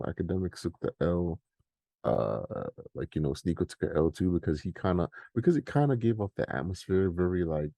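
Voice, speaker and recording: A man's voice: deep voice, dark tone, dry recording. A young man co-hosting a podcast, talking in a loose, rambling way with lots of fillers. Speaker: podcast co-host. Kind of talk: casual commentary chat.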